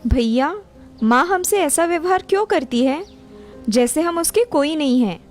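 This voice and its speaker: A woman voicing a sweet, timid young girl who is a loyal sister, speaking softly and earnestly. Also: high voice, lively intonation, unhurried, soft background music. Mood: sad. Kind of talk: cartoon story voice acting.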